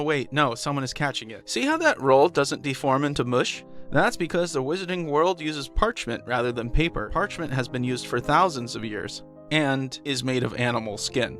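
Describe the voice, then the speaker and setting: A man's voice: medium pitch, a bit bright. A man hosting a scripted video breakdown of a trailer, narrating in a playful way with jokey asides. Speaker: video host. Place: voice-over over background music. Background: music.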